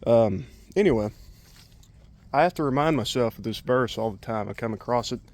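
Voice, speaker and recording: Man, mid-pitched voice, folksy, casual unscripted vlog chat, rambling, colloquial. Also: moderate pace, darkish tone. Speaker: vlogger, DIY truck project host.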